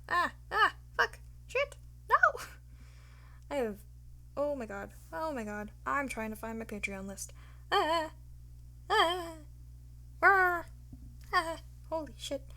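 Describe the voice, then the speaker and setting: Flustered, casual woman with highish voice. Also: bright, forceful tone. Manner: giggly, flustered, sweary. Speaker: ASMR creator addressing viewers. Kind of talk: casual unscripted chat.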